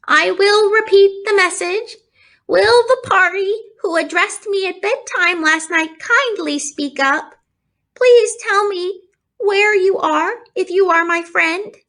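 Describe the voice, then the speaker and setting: A woman voicing an earnest, polite, excitable young male pig in a children's storybook. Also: very high-pitched, slow, voice pushed up, exaggerated voice. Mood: earnest announcing. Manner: formal, pleading, eager. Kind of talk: children's storybook read-aloud.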